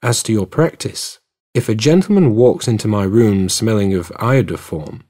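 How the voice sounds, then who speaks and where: A man voicing a keen, superior, teasing male detective in an audiobook, brisk, assured and precise. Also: fairly low pitch, warm tone, close to an everyday voice. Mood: explaining, assured. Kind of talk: audiobook character dialogue.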